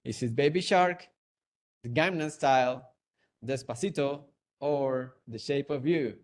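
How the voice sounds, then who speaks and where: A man's voice: medium pitch, measured pace. A young man with a Venezuelan Spanish accent, hosting a live trivia webinar on a video call in a playful way, laughing and joking. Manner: playful, laughs often, hums and sings along. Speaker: webinar host.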